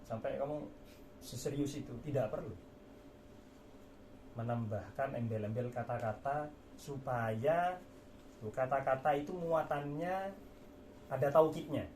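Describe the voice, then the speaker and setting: A man's voice: medium pitch, unhurried, long pauses, darkish tone. A man giving an informal lecture as a religious teacher, explaining through repetition and rhetorical questions. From Indonesia. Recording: echoey room with faint background noise.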